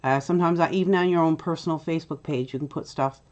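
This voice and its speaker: A middle-aged woman with a Newfoundland accent, chatty and step-by-step as she hosts a software tutorial. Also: sits low, steady tone, fast, muffled recording. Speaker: tutorial host. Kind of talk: screen-recorded software tutorial.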